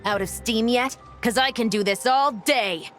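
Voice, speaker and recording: An actress playing a cool, confident patrol fighter in a video game, controlled, dry and taunting. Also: female voice, a little high, measured pace, a bit bright, soft background music.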